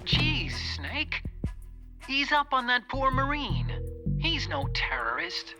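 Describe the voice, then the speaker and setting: A man's voice: very high voice, big pitch swings, slow pace, heavily acted. A man playing an earnest, nerdy tech partner in a video game, fussy and easily exasperated. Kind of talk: dramatic video game voice acting. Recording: music playing behind the voice.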